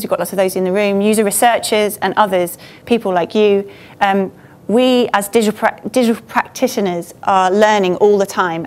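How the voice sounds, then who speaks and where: Woman giving an informal, conversational presentation. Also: mid-range voice. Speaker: conference speaker, government design team member. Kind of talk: informal presentation to a meetup audience. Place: auditorium stage, indoors.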